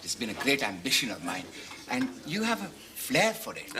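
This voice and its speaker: A man's voice: medium pitch, wildly swooping pitch, moderate pace. An actor playing a polite, hopeful and deferential man with ambitions in a sitcom. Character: polite, hopeful male colleague with broadcasting ambitions.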